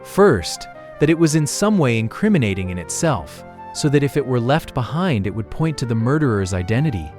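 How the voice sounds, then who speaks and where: A man plays a bookish young sleuth in a mystery audio drama. He reasons calmly and pedantically, with lively swings of intonation in a middle-pitched voice. Music runs softly behind the close, dry recording. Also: close to an everyday voice. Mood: reasoning. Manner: pedantic, erudite, deliberate phrasing. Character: Ellery, bookish young male amateur detective, calm, analytical.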